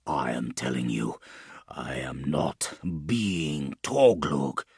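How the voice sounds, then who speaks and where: A man voicing a defiant fantasy warrior in pain, hissing his words haltingly in an audiobook. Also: rumbling low, sing-song, creaky, darkish tone, husky, no echo, studio quality, pitched below the performer's own voice, exaggerated voice. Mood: pained defiance. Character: pained, defiant male warrior resisting corruption.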